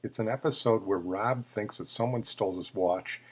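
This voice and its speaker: Deep raspy guy hosting, informal narration intros for old clips. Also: normal speed. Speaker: host of a tribute show.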